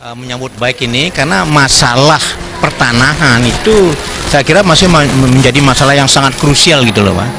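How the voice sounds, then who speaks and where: A man's voice: mid-range voice, moderate pace. An older man giving an informal interview answer as a university rector. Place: auditorium. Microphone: handheld interview mic.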